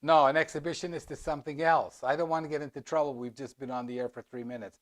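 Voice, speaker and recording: A man's voice: on the high side, fairly even intonation, fast talker. A man hosting a casual live interview, making playful jokes with his guest. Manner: joking, teasing. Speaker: host.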